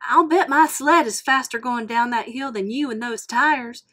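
A woman voicing an excitable, folksy male tow truck in a storybook read-aloud, loud and bouncy with country slang. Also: fairly high pitch, moderate pace, pitched above the performer's own voice. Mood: excited.

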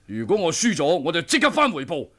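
A man's voice: a little high, huge pitch swings, brisk, sharp tone, exaggerated voice. An actor playing a combative martial-arts master in his sixties in a Hong Kong Cantonese radio drama. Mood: challenging, jealous.

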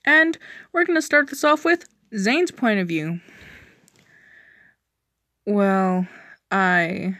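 A woman's voice: high-pitched, very animated, moderate pace, pushed and bright, no echo, clean studio sound. A woman narrator giving an amateur reading of a fan fiction story.

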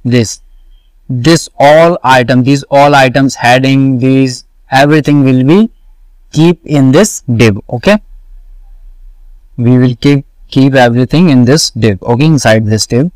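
A man walking through a coding tutorial, slowly repeating each step and answering his own questions. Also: mid-range voice, darkish tone, no room sound, poor audio. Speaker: web development tutor. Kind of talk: screen-recorded coding tutorial.

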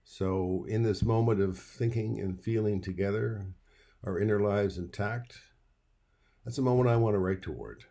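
A man in his sixties or older, a playwright giving measured, reflective remarks to camera. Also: lowish voice, creaky, dark tone. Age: 60s or older. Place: wood-panelled bedroom, indoors.

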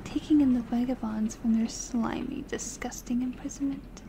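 A woman's voice: a little high, fairly even intonation, normal speed, creaky. A woman playing a prim whispering assistant. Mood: polite whisper. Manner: soft whispering, formal and politely teasing. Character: poised young female personal assistant, prim and mischievous. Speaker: personal assistant to the listener. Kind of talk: ASMR audio roleplay.

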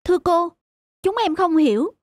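An actress playing an eager, polite young student in a cartoon, speaking earnestly. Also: female voice, very high voice, animated, moderate pace, no echo, clean studio sound. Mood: puzzled, concerned. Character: eager, polite young photography students visiting their teacher. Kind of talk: animated moral-tale dubbing. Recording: clear music behind the voice.

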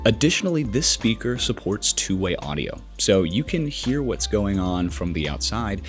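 Male tech presenter, 20s, American, lowish voice, casual scripted how-to delivery over music. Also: very animated, moderate pace. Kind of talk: product setup tutorial, casual but scripted narration.

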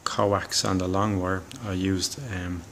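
A middle-aged man giving a casual, halting DIY demonstration as a hobbyist, thinking aloud as he goes. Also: deep voice, flat delivery, a bit bright, slight background noise. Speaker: hobbyist presenter.

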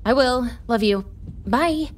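A woman playing a pushy, driven crime reporter in an audio drama, brisk and insistent. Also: on the high side, expressive, noisy background. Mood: hurried. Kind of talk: naturalistic audio drama acting.

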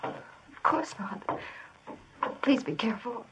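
An actress playing an anxious, earnest young woman in a vintage radio drama, her voice husky and breathy. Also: a little high, animated, slow pace, noisy background. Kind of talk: 1950s radio drama acting. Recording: distant vintage radio recording.

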